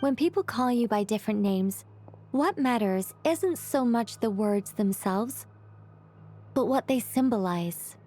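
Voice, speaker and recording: Wise calm guide, somewhat high voice, reflective, gently probing, game dialogue. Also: female voice, measured pace, a little hiss or hum. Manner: thoughtful, reflective, gently guiding. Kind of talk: game cutscene voice acting.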